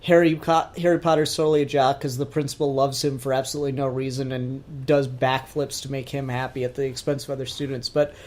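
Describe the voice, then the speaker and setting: A podcast co-host with a medium-pitched voice talks in a casual discussion style. The delivery is informal and sweary, with animated emphasis on the points being made. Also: quick pace, few pauses. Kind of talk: casual pop-culture discussion.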